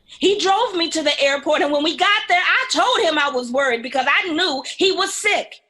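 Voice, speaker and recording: A woman's voice: very high-pitched, animated, normal speed, pushed and bright, full character voice. A woman playing an earnest, outspoken younger sister in an audio drama, confrontational in manner. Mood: earnest, emotional.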